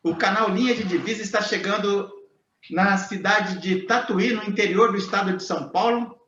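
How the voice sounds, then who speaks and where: High-voiced male interviewer, echoey. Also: moderate pace, fairly bright tone, slight background noise. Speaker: interviewer and channel host. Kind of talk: interview questions. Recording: echoey, dull lo-fi sound.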